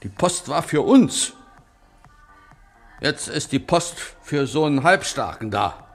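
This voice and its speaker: An actor playing an indignant, suspicious resident who complains and scolds self-righteously in a radio drama. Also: male voice, on the high side, very animated, slow pace, gravelly, soft background music, exaggerated voice. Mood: aggrieved. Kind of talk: German radio drama acting.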